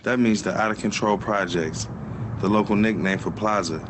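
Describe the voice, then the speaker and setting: A man who founded a small business tells its story in documentary voice-over, plain and steady. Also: on the low side, moderate pace. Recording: background noise.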